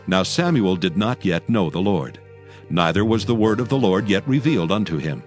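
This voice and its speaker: Low-voiced, animated male scripture narrator. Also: normal speed, faint music behind. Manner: expressive, formal storytelling.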